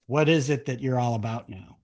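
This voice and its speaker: A man's voice: mid-range voice, brisk, a little mellow. A man hosting a casual interview show, talking informally and rephrasing his questions as he goes.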